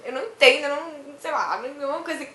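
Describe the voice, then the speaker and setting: A young woman from Brazil who is hoarse from a cough, chatting to camera in a rambling way and laughing often. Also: sits high, fast talker, sharp tone, reverberant. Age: young adult. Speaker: vlogger. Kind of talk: casual chat to camera.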